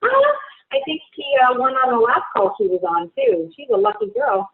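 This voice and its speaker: Lively woman on a tinny call line. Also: high-pitched, moderate pace, vocal fry, no echo. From Ontario, Canada. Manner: chatty, reassuring, lively. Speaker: travel agent hosting a webinar. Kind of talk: casual Q&A on a video call. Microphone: video call. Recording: tinny phone-like call audio.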